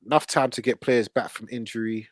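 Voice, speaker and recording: Male football podcast host, mid-pitched, blunt slangy chat, very dry recording. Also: fairly even intonation, a little fast, dry recording. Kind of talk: casual football panel chat.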